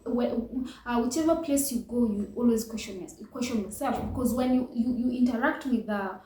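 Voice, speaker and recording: A woman's voice: on the high side, fairly quick, dark tone, a little hiss or hum. Earnest woman in an echoey room. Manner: earnest, reflective, many fillers and restarts. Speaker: reaction channel host. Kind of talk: casual reaction commentary.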